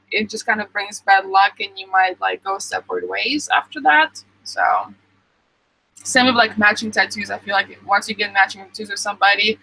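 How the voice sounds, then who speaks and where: A woman's voice: mid-range voice, vocal fry, sharp tone, poor audio. A young woman casually reading and answering viewer comments on a live stream, with lots of ums. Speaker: vlogger reading live chat.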